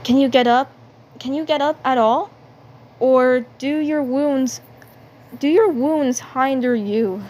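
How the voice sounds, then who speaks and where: A woman voicing a caring male cartoon helper, earnest and reassuring, in a deliberate, repetitive delivery. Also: high voice, darkish tone. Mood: concerned. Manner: deliberate, repeats phrases. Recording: faint background noise.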